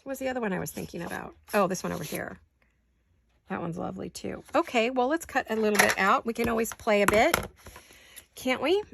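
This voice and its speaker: A woman with a sore throat chatting casually to viewers while she crafts at home, thinking out loud as she works. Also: mid-range voice, brisk, gravelly, background noise. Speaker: craft vlogger. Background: scissors and crafting clicks.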